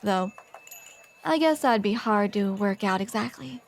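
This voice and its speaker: A woman playing a grumpy, flustered tsundere barista with a secret soft side in an ASMR roleplay, sardonic, self-deprecating and awkwardly halting. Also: mid-range voice, animated, vocal fry. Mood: dry, grumpy.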